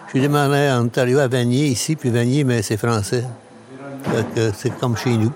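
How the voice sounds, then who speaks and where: A man's voice: mid-range voice, level tone, fairly quick. A man from Quebec with a Québécois accent, answering plainly in a street interview. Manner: plain, proud of roots. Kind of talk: vox pop answer. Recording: street interview with crowd noise.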